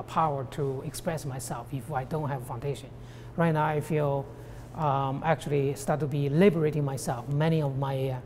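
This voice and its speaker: A woman with a Chinese accent, speaking haltingly and searching for words in a personal documentary interview. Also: very deep voice, dark tone, a little hiss or hum. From China. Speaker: artist.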